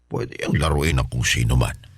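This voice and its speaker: A man playing a kindly, encouraging old grandfather in a Filipino radio drama, with a husky, aged voice and a folksy, reassuring manner. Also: very deep voice, no room sound, studio quality, full character voice. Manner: folksy, reassuring, drawn-out interjections.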